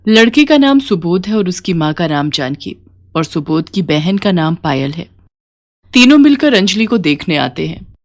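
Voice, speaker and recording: Lowish-voiced woman narrating a story. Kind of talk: scripted moral-story narration.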